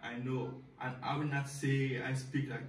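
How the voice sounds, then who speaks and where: A young man with a Haitian Creole accent talks through his job application, halting and repeating himself and tossing in "you know what I'm saying". His voice is fairly flat in pitch. It is recorded from across a small, echoey bedroom, so it sounds distant and roomy. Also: a little hiss or hum. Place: small bedroom with a desk and computer, indoors. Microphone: far camera, filmed from the side. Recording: echoey room, voice from afar.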